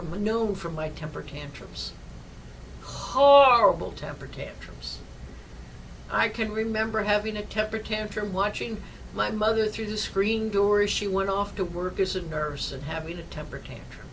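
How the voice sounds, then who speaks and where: Older woman chaplain, deep and forceful, projects well; distant mic, light background noise. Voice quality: low-pitched, projecting voice. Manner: loud and forceful, rambling personal stories. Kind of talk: devotional talk.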